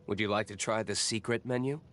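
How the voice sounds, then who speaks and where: A man performs a brooding young vigilante nobleman from a video game. He speaks in a low, guarded voice with curt, measured, aloof delivery. Some noise sits behind the recording. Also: fairly even intonation, fairly bright tone, natural voice. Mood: guarded, reserved. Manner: terse, cool, measured. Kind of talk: scripted video game character lines.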